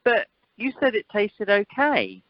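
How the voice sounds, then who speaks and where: A woman's voice: medium pitch, huge pitch swings, measured pace, no room sound. A woman playing a cheeky, cheerful male airport worker in an amateur radio comedy. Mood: breezy. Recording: dull, dry telephone conference line.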